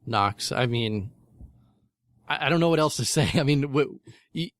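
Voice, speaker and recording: A man's voice: mid-range voice, lively intonation, measured pace, no echo, studio quality. A man co-hosting a podcast with casual, teasing banter. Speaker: podcast co-host.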